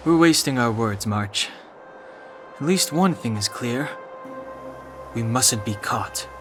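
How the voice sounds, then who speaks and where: A man voicing a calm, serious, guarded young warrior in an anime game, measured and terse. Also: medium pitch. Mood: grim, terse. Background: game music.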